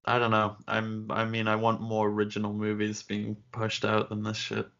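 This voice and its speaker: Gravelly low-voiced man, lo-fi. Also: completely flat delivery, normal speed, a bit bright. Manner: blunt, sardonic, swears casually. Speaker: podcast co-host. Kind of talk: casual chat. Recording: dull lo-fi sound.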